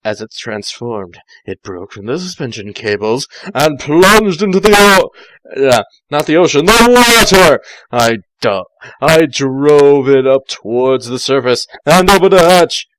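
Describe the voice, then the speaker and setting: A man's voice: on the high side, animated, unhurried, fairly bright tone, no echo, rough recording. Male amateur story reader, sardonic and mocking in his asides, close dry sound. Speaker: fan fiction reader. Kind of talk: amateur read-aloud with sardonic side comments.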